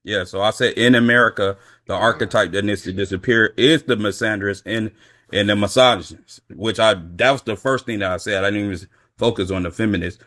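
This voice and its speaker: A man on a live-stream panel with a fairly low voice. He argues firmly and keeps repeating and clarifying what he said before. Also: a bit bright. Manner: firm and argumentative, restating his points. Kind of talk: casual live-stream debate.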